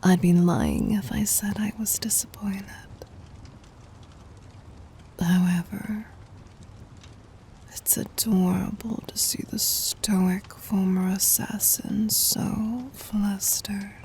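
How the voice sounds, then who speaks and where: A woman playing a gentle, dominant demon queen in an ASMR roleplay, teasing and intimate, in a breathy whisper. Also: mid-range voice, little pitch movement, slight background noise. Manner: slow, intimate, commanding.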